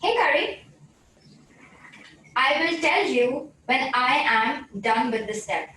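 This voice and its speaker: A woman's voice: sits high, lively intonation, slow, bright, forceful tone. A woman speaking scripted commands to a voice assistant in a demonstration. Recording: very echoey, distant, faintly noisy room.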